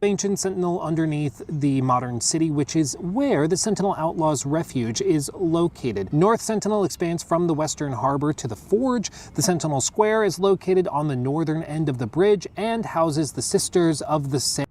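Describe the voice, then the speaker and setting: A man's voice: on the high side, expressive, normal speed, few pauses, darkish tone. A young American man chatting casually on a podcast, rambling with lots of fillers as he reads out game lore. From United States. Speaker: podcast co-host. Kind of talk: casual chat reading lore.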